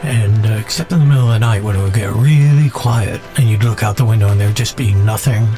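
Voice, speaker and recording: A man hosting a podcast in a reflective, unscripted monologue, speaking haltingly and musingly and repeating words for emphasis. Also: fairly low pitch, over music. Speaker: podcast host.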